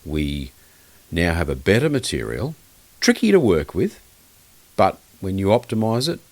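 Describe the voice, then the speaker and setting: A man's voice: low-pitched, huge pitch swings, a little slow, dry recording. A man talking casually as an expert guest in a podcast interview, opinionated and blunt, often rambling as he explains things. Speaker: guest loudspeaker designer and maker.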